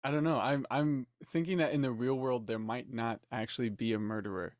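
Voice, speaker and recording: A man's voice: medium pitch, fairly even intonation, a little fast, no room sound, studio quality. A man chatting casually on a panel in a tentative, wry way. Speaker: cast member on a panel. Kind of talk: casual chat.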